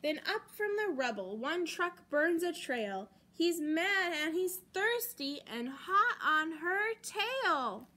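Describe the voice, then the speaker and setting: A young American woman reading a picture book aloud to children as a storytime host, with deliberate, dramatic emphasis on key words. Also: very high-pitched, big pitch swings, sharp tone. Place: library children's room, indoors.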